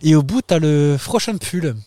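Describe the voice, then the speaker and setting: A man's voice: fairly high pitch, animated, normal speed, dark tone, dry recording. A man co-hosting a podcast outdoors on a town square, chatting casually in a playful, teasing way and laughing often. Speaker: podcast co-host. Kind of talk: casual chat.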